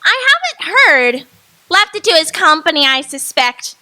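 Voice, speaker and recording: A woman's voice: high voice, sing-song, moderate pace, bright, forceful tone, full character voice. A woman playing an indifferent townsperson in a radio drama, speaking in an offhand, dry way.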